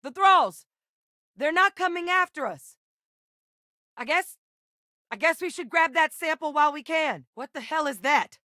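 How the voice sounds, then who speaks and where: A woman voicing a composed, principled female scientist officer in a video game, speaking in a measured, earnest, direct way. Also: sits high, animated, sharp tone, no room sound, clean studio sound, exaggerated voice. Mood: alarmed. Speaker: game companion character. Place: recording studio. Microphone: close studio mic.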